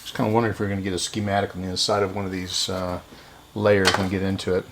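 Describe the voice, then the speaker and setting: Low, gravelly man thinking aloud. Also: flat delivery, noisy background. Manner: halting, thinking aloud while working. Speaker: repair video host. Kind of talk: casual unscripted hands-on repair narration.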